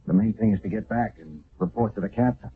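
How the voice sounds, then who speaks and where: An actor playing a weary, earnest army sergeant giving a tense report in an old radio drama. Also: male voice, lowish voice, fast, breathy edge, narrow, tinny audio. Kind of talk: 1970s radio drama acting.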